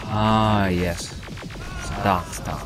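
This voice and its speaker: An actor playing a calm, wry adventurer in a sci-fi audio drama, dry and unhurried. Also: male voice, low-pitched. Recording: music behind voice.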